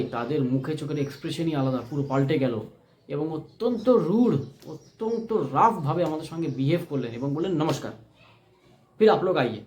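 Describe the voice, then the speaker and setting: Guy from india telling his own story, bit high voice, roomy echo, emphatic. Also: a little mellow. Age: adult, married. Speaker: vlogger telling a personal experience. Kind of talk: unscripted storytelling to camera. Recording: echoey room.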